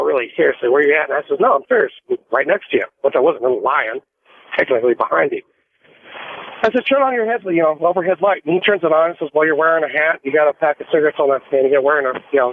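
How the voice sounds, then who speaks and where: A man's voice: fairly high pitch, dry recording. An American man, a trucker calling a radio show, telling a casual, rambling story over a phone line. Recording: tinny narrowband phone line.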